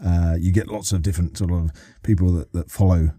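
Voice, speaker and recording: A man's voice: sits low, fairly even intonation, brisk, mellow, slightly rough, dry recording, studio quality. A man co-hosting a podcast in a casual interview, often repeating himself as he works out his questions. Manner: hesitant, repeats himself while forming questions. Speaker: podcast co-host interviewing a writer.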